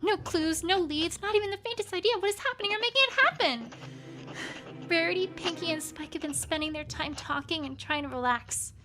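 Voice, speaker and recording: A woman's voice: very high-pitched, a little fast. A woman voicing a fretful young unicorn scholar pony. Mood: tired, uneasy. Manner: earnest, fretful first-person diary reading. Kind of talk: journal read aloud as a fan audiobook. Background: music.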